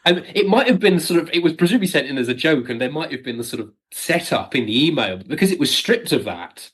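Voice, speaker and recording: A man's voice: a little high, big pitch swings, fast, husky. A British man chatting as an interview guest and writer, with a wry, jokey way of acting out remarks. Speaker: guest, audio drama writer. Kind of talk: casual conversational interview.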